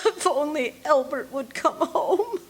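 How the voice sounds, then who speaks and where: A woman's voice: high-pitched, expressive, measured pace, heavy background noise. An actress playing a nervous, demanding, self-pitying bedridden woman in a 1940s-style thriller radio play, fretful and melodramatic. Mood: panicky. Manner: imperious, fretful, querulous, melodramatic. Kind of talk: live stage radio drama acting, 1940s thriller.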